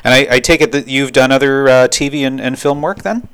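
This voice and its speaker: A man's voice: medium pitch, steady tone, brisk, fairly bright tone, clean tone. A man interviewing a guest in a curious manner, often restarting his questions. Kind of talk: radio interview.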